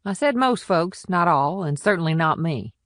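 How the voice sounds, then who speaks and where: A woman plays a small-town policewoman in a thriller audiobook. Her voice is low, frank and composed, with matter-of-fact delivery and a dry, close sound. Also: expressive, moderate pace, fairly bright tone, no room sound. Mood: frank, wry. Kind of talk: audiobook character dialogue.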